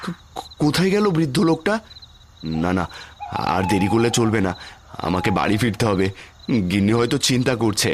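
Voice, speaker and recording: A man's voice: medium pitch, lively intonation, brisk, long pauses, slight background noise, close to an everyday voice. A man playing a poor village street vendor and father in a cartoon, with a pleading, plaintive manner. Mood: earnest.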